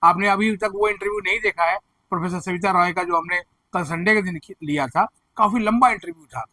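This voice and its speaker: A man with a hoarse throat presenting education news, explaining admission figures and earnestly reassuring students and parents. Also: high-pitched, fairly quick, bright, forceful tone, no echo. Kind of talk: direct-to-camera advisory commentary.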